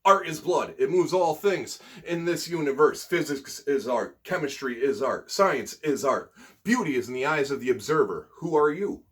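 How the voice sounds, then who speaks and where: A man with a husky voice, an amateur poet hosting his own videos, talking casually and reciting spoken-word poetry. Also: a little high, animated, moderate pace, fairly bright tone.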